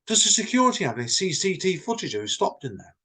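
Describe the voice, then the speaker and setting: A man's voice: high-pitched, very animated, quick pace, bright, forceful tone, slightly rough, voice pushed up, heavily acted. A man voicing a shocked, doubtful accounts manager in a short story reading. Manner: incredulous, questioning.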